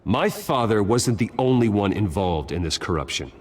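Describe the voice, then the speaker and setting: An actor playing a brooding young billionaire hero, speaking in a low, husky, controlled voice. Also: male voice, big pitch swings, moderate pace. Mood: solemn. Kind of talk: video game voice acting.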